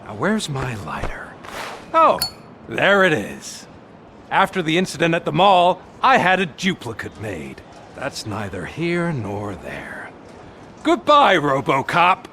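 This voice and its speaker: An actor voicing a desperate, theatrical male crime boss in a video game cutscene, swinging from sly to shouting. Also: on the high side, huge pitch swings, slow pace, slight background noise, heavily acted. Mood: menacing, smug. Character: desperate, ranting male crime boss taunting a cyborg cop.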